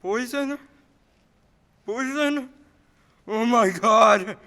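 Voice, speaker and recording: A man playing a drunk, grieving old druggist in a radio play, loud and volatile. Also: very high-pitched, drawn-out words, exaggerated voice. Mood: horrified, remorseful. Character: drunk, grief-stricken, angry old druggist. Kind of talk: 1940s radio play acting.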